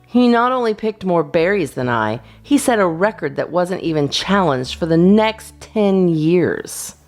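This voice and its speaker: A woman reading a children's storybook in an expressive, deliberate narrating style. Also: lowish voice, faint music behind. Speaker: storyteller, children's channel host.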